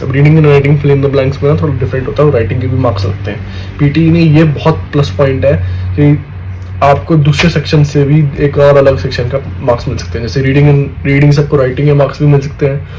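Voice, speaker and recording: A man's voice: mid-range voice, little pitch movement, moderate pace, dark tone, slight background noise, poor audio. A young man in casual talk to his viewers, giving exam tips in a chatty way, often calling them brother and checking they follow. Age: young adult. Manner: chatty, addresses viewers as "bhai", repeats "theek hai". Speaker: student vlogger.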